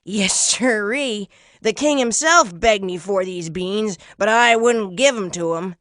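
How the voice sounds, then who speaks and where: Woman voicing a loud folksy old bearded trader. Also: medium pitch, slow pace, dry recording, clean studio sound. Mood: boastful. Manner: folksy, loud, showy salesman talk. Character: strange old bearded man, cunning folksy bean trader.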